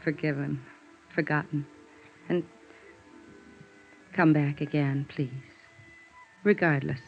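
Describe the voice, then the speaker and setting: An American actress playing a wounded, soft-hearted woman in a 1950s radio drama, speaking haltingly and intimately. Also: deep voice, steady tone, creaky, heavily acted. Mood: tender, shy. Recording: dull vintage radio recording, faint music.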